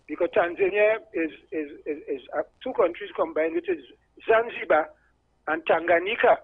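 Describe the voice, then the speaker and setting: An older man with a Trinidadian accent giving an emphatic, opinionated explanation on a radio call-in, halting and repeating words. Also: high voice, animated, breathy edge. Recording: dull lo-fi phone line on radio.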